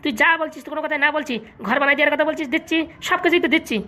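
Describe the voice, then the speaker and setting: Woman as a high-voiced, gullible politician. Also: fairly even intonation, racing, pushed and bright. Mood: grumbling, admiring. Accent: rural Bengali. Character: gullible, wealthy male politician reliant on his assistant. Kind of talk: comic cartoon dialogue.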